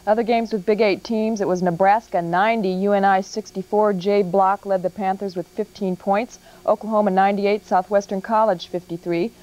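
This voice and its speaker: An American woman anchors a TV sportscast, reading from a script. Her pitch is mid-range for a woman. The recording is muffled and lo-fi, like an old broadcast tape. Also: fairly even intonation, moderate pace, few pauses, darkish tone. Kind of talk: scripted TV newscast sports scores.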